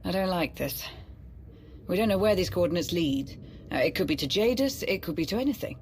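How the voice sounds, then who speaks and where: A woman's voice: lowish voice, expressive, a little fast, a little mellow, a little hiss or hum. A woman playing a cautious, formal military adviser in a scripted video game, pointed in her questioning. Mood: worried, insistent.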